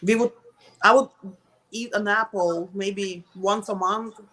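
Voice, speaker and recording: A woman's voice: medium pitch, steady tone, a bit bright. A middle-aged woman from Russia with a Russian accent, answering casually in an interview and sometimes searching for words in English. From Russia, Soviet Union. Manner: halting, searching for words in non-native English. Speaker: interview guest.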